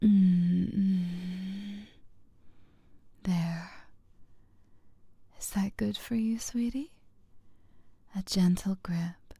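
A woman speaking in a soft, coaxing whisper, close to the microphone, with slow, drawn-out phrases and murmured hums. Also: medium pitch, gravelly, slightly rough, dry recording. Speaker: audio roleplay performer. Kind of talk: whispered intimate audio roleplay.

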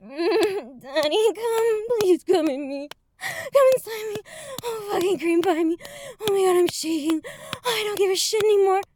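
A woman's voice: extremely high pitch, animated, normal speed, darkish tone, a little hiss or hum. A woman playing an overwrought, conflicted adult bride in an explicit audio roleplay, with breathless, moaning delivery.

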